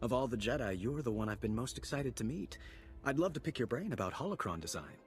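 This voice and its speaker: A man's voice: medium pitch, expressive, fast, soft background music, natural voice. A man playing an eager, nerdy engineer in a science-fiction game, earnest and technical in manner. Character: eager, nerdy male engineer of a space republic. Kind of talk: game cutscene acting.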